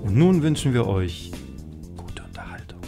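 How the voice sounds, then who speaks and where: Low-voiced male announcer, lively delivery. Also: normal speed, vocal fry, music in the background. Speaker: channel announcer. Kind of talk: scripted announcement.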